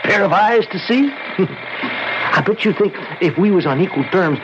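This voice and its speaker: A man's voice: sits high, lively intonation, a little slow. An actor playing a menacing, cocky gangster killer with slangy tough-guy talk in an old-time radio drama. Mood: sneering, menacing. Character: menacing, cocky gangster killer, sneering, panicky when cornered. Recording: dull, very noisy old radio recording.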